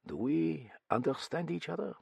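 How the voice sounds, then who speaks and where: A man voicing a calm, wise and tired older investigator who speaks in a measured, formal way. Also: fairly high pitch, vocal fry, slightly rough, dry recording, pitched above the performer's own voice, exaggerated voice.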